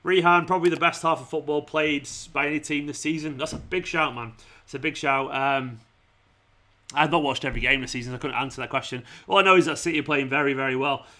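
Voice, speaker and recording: Male football stream host, bright and fairly high voice, informal and matey, slightly worn from talking. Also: quick pace. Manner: informal matey address, often saying "man", "mate" and "pal". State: losing his voice. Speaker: fan channel livestream host. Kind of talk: football watchalong stream, casual chat with viewers.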